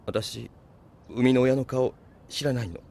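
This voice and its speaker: A male actor voicing a high-spirited, effeminate adult in an animated film, theatrical and able to turn soft and sentimental. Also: fairly quick, creaky. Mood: tender, wistful. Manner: theatrical, swinging between energetic and soft delivery.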